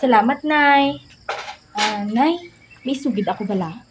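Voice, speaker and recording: A woman's voice: high-pitched, lively intonation, measured pace, noisy background. A woman playing an anxious young student daughter in an amateur radio drama, with a Visayan Filipino accent. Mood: casual, puzzled. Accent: Filipino, Western Visayas. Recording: echoey, loud music bed. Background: loud music.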